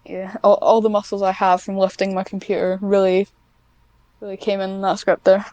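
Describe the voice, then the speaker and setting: A woman talks casually. Her voice is clean and smooth, recorded very dry. She wanders off track and pokes fun at herself. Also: mid-range voice, completely flat delivery, moderate pace, dark tone, dry recording. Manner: rambling, self-deprecating, easily sidetracked. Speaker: podcast guest, ASMR script writer. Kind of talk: casual chat.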